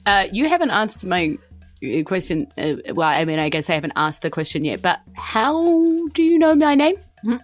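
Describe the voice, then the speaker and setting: A woman's voice: mid-range voice, big pitch swings, normal speed, faint music behind, close to an everyday voice. A woman with a New Zealand accent playing an evasive, forgetful male cat-person thief in a tabletop game, blunt and awkward. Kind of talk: improvised tabletop roleplay.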